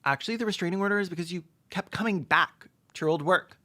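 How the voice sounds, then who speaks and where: A video essay host doing sarcastic comedic commentary with mock-serious, deadpan jokes. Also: mid-range voice, fast talker.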